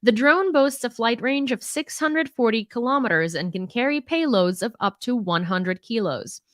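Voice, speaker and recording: A woman's voice: a little high, expressive, normal speed, a bit bright, dry recording, clean studio sound. A young woman from Ukraine with an American accent, hosting a live stream, chatty with many fillers and frequent laughs, reading out news.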